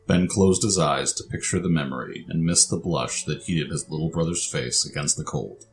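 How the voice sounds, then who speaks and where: A man's voice: extremely low pitch, moderate pace, a little hiss or hum. A man reading scripted story narration as the narrator.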